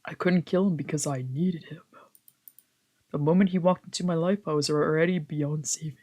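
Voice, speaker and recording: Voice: medium pitch, steady tone, moderate pace, darkish tone. A streamer with a slangy, sweary way of talking, chatting casually and reading visual novel text aloud.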